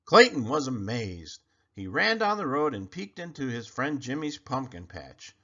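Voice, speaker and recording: A man reading a children's storybook aloud in an expressive, emphatic storytelling manner. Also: mid-range voice, unhurried, muffled recording. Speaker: storybook reader.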